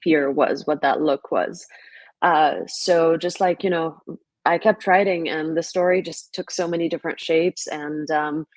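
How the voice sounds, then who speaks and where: A woman's voice: low-pitched, fairly even intonation, unhurried, no echo. A young woman from Lebanon, a filmmaker answering interview questions in a reflective way, with many fillers and restarts. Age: young adult. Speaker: filmmaker guest.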